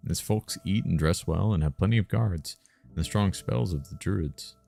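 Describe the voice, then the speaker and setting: A man voicing a shrewd, guarded, motherly woman innkeeper in a folksy, measured way for a story game. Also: sits low, vocal fry, mellow, faint music behind.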